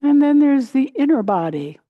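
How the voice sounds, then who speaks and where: A woman teaching a guided meditation in a gentle, unhurried way, pausing between short phrases. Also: sits high, sing-song, no echo, lo-fi sound. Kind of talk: guided meditation, body scan.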